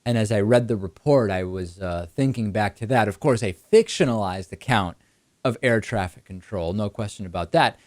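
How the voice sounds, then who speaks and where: Man, talk show host, brisk explainer, rhetorical questions, dry close studio sound. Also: fairly low pitch, expressive, darkish tone, no echo. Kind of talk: political talk show commentary.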